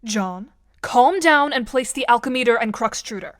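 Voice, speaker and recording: A woman's voice: on the high side, animated, normal speed, noisy background, lightly acted. A woman playing a bossy, sarcastic teenage girl in an audio drama, scolding in a composed way. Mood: commanding.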